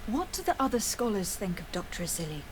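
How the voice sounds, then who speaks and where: A woman playing a young noblewoman diplomat in a video game, speaking in a formal, measured, probing way. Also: medium pitch, big pitch swings, a little hiss or hum, natural voice. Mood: composed. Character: earnest young noblewoman diplomat investigating crimes. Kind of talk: video game dialogue acting.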